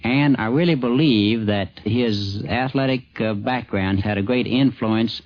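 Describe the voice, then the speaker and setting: A man recalls things thoughtfully and haltingly in an unscripted interview. His voice is somewhat low and very unhurried. It comes through a dull 1950s radio recording. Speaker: newspaper sports editor.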